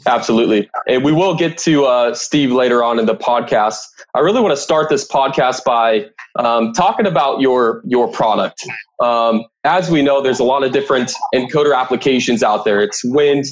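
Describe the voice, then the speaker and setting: A man's voice: medium pitch, normal speed. A male podcast host with a mid-range voice. He speaks as an interviewer, moving between prepared introductions and conversational questions.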